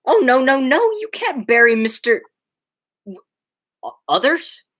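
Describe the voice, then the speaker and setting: A teenage woman playing an agitated, horrified young man who stammers and exclaims in a high school radio play. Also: big pitch swings, a little slow, a bit bright, full character voice. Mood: horrified, frantic.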